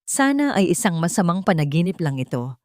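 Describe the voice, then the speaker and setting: A woman's voice: on the low side, big pitch swings, fairly quick, darkish tone, no room sound, studio quality. A woman voicing a timid, humble young mother working as a new maid, polite and trembling, in a story. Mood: frightened.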